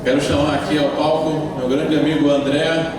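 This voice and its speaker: A man's voice: a little high, flat delivery, moderate pace, noisy background. A man hosting a conference stage, joking casually with the audience as he introduces the next speaker. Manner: joking, informal. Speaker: event host. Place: conference stage in a large hall. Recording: echoey hall, distant mic, loud crowd.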